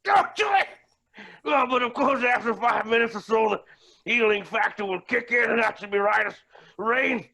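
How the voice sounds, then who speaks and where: Shrill, raspy, manic villain billionaire. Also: male voice, moderate pace, pushed and bright, exaggerated voice. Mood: manic glee. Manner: pompous, melodramatic, swooping exclamations. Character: manic villainous billionaire man, gleefully cruel and theatrical. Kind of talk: theatrical staged reading.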